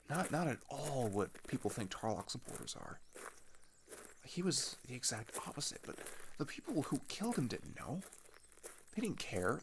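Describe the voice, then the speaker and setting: A young man playing a scared, grieving older teenage boy in an intimate audio roleplay, talking in a halting, casual, rambling way. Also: mid-range voice, a little hiss or hum, lightly acted. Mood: anguished, indignant. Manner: halting, rambling, casual youthful speech with sighs.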